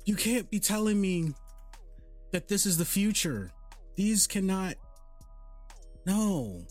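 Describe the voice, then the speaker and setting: High, animated exasperated man. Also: slow, faint music behind. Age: 30s. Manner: exasperated, rambling with stuttered restarts. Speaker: podcast host. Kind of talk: casual group chat.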